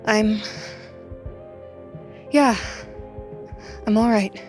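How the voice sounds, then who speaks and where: An actress playing a shaken, hesitant young woman explorer in an audio drama, speaking in a halting, tentative way. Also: a little high, a little mellow, faint music behind.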